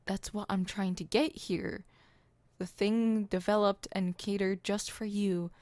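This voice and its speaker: A woman's voice: mid-range voice, steady tone, a little slow, darkish tone, dry recording, lightly acted. A woman playing a theatrical, poetic supervillain in an ASMR roleplay, haughty and dramatic, stammering when flustered. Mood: flustered, indignant.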